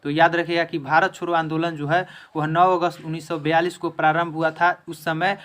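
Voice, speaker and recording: A man's voice: a little high, flat delivery, brisk, a bit bright. A man teaching an exam-prep lesson in question-and-answer form, emphatically repeating each answer.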